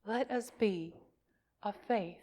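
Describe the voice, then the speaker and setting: A woman minister leading worship, delivering poetic words in a solemn, emphatic and deliberate way. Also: medium pitch, a little mellow. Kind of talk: call to worship, poetic reading.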